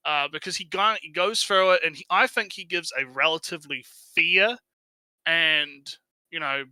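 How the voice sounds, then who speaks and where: Guy co-hosting a stream, really bright forceful voice, kinda high, rambles and repeats himself. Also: normal speed, dry recording, poor audio. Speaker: livestream co-host. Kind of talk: casual livestream banter.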